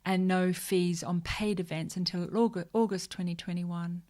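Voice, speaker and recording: A woman hosting a social media news livestream. She talks casually, slips into joking rants, and mutters quiet asides to herself while she looks for things on screen. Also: lowish voice, steady tone, measured pace.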